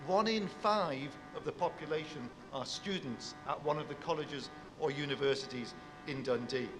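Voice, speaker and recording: A man, a university professor, giving a measured formal speech to an audience, speaking with a big voice. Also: a little high, in a large hall, over music.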